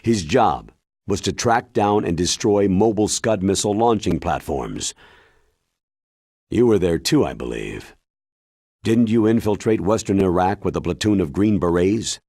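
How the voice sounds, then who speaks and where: A man playing a grave, authoritative military commander in a video game, briefing in a deliberate, emphatic way with a husky voice. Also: low voice, animated, warm tone, dry recording, studio quality, heavily acted. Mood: solemn, informative. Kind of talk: video game cutscene acting.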